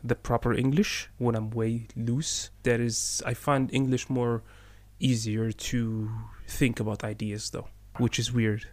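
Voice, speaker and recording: A man who grew up speaking Arabic talks in a laid-back, easygoing way. He is quick to laugh and stops now and then to think mid-sentence, with a voice of ordinary pitch. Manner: relaxed, laughs often, measured with pauses. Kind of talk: casual conversation.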